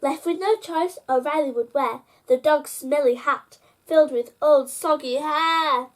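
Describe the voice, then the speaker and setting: Female storyteller with a high-pitched voice for children's bedtime picture books, engaging young listeners directly. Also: measured pace. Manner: addresses child listeners with prompting questions. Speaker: host reading children's picture books aloud.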